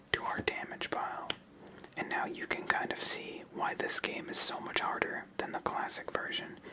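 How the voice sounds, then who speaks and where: A fully whispered voice hosts an ASMR solitaire session. The delivery is hushed and unvoiced, narrated step by step in an explanatory way, close to the microphone. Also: low-quality recording. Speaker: host playing a solitaire card game. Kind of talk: ASMR whispered game commentary.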